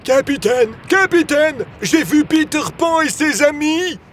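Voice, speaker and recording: A man voicing an excitable pirate lookout in a children's storybook, calling out urgently to his captain. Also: a little slow, a little hiss or hum, full character voice. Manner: urgent, high-strung calling out. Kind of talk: children's animated storybook acting.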